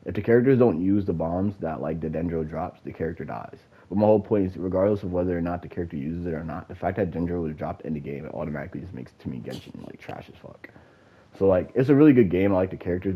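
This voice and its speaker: Low husky guy, stuffy nose, cussing and rambling, kinda muffled audio. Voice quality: nasal, congested. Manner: profane, rambling, with many fillers. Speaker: gamer giving opinions. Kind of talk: casual rant.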